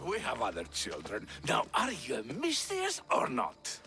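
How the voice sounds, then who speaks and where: An actor playing a proud, hot-tempered older nobleman in a video game, with a gravelly, haughty voice. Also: medium pitch, wildly swooping pitch, a little slow, bright, forceful tone, soft background music, heavily acted. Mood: scornful.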